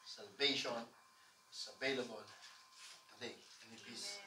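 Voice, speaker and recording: A man, preaching loudly and emphatically to a congregation, often asking for amens. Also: mid-range voice, big pitch swings, normal speed, slight background noise. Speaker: pastor. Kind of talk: sermon. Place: church stage with colored lights. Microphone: far camera. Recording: echoey room from far off.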